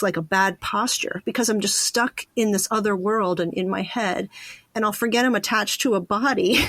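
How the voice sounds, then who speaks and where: A woman's voice: medium pitch, a bit bright, no room sound. A woman hosting a podcast in a casual, reflective conversation, speaking informally and thinking aloud from personal experience. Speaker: podcast host.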